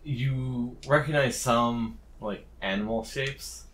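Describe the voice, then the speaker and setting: A woman with a very deep voice runs a tabletop game as game master. She moves between casual table talk and narration. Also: slow pace.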